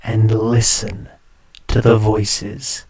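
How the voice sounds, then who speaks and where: Creepy radio host guy voiced by a man, husky low whispers, drags every word out slow. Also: little pitch movement, exaggerated voice, poor audio. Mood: sinister welcome. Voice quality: hushed, whispery. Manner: theatrical, drawn-out, creepily welcoming. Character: sinister late-night male spirit radio host. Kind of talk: horror radio drama.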